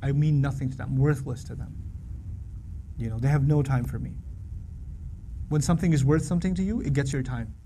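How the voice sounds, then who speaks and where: A man's voice: medium pitch, brisk, pauses often, dark tone, a little hiss or hum. A man giving an earnest, conversational religious talk to young people, often repeating phrases for emphasis. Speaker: Islamic speaker.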